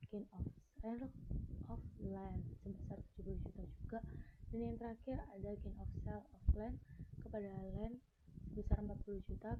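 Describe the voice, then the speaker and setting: A young woman presenting worked problems to a class as a student, in a halting way that pauses mid-phrase. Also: medium pitch. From Indonesia. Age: young adult. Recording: narrow phone-like sound with clear noise, clicks.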